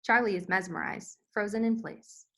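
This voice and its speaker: A woman narrates a screenplay's scene headings and action lines. Her voice is medium in pitch, in the style of scripted narration for a table read. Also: moderate pace, fairly bright tone. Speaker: stage directions reader.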